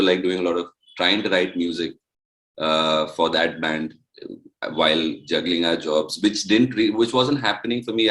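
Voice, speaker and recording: A man's voice: sits low, a bit bright, low-quality recording. A young man, a musician guest telling his story in loose, rambling casual chat.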